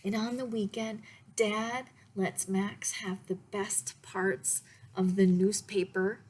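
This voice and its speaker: Slow-paced woman reading a children's storybook. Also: mid-range voice, husky. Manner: deliberate, expressive, pausing to show the pictures. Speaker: librarian reading a picture book for story time. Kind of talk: read-aloud children's storytime, with asides to listeners.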